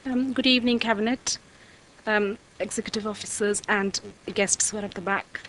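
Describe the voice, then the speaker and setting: A woman with a croaky voice giving a formal presentation to a council as an arts company director. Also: medium pitch, steady tone, moderate pace, a bit bright, slight background noise, rough recording. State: croaky voice, needing water. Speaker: artistic director of a dance company, guest speaker. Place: council meeting room.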